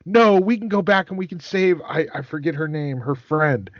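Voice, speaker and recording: A man hosting a casual podcast, chatting like a fan and haltingly repeating words as he works out his questions. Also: a little high, expressive, no room sound. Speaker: podcast host. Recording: dry but dull, lo-fi microphone.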